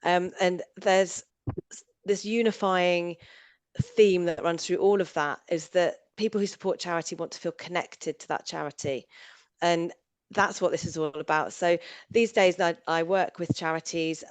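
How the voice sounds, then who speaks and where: Female panelist, lower voice, eager tone, dead-dry recording. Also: no echo. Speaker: fundraising consultant, panelist. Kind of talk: self-introduction on a panel.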